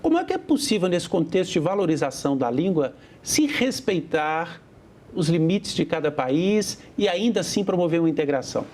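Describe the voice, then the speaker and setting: A man's voice: a little high, big pitch swings, moderate pace, a little mellow. A man with a Brazilian accent interviewing a guest on television, asking formal, courteous questions. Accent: Brazilian Portuguese. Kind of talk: studio interview.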